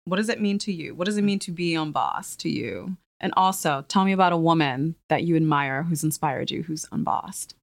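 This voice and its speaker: Woman, podcast host, lowish voice, animated and effusive, dry close sound. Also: creaky, no room sound. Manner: animated, effusive, quick to laugh. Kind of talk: casual interview conversation.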